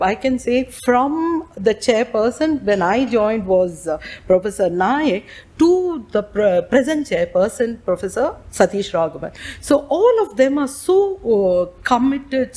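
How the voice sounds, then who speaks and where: Loud-voiced woman recalling her career. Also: a little high. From India. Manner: loud, many restarts and fillers. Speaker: guest speaker. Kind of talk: reminiscing talk to students.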